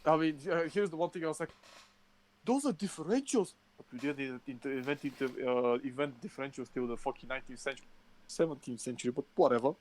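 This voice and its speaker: A man's voice: mid-range voice, quick pace, darkish tone. A man co-hosting a podcast, talking about a film in a blunt, sweary, enthusiastic way. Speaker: podcast co-host. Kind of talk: casual film chat.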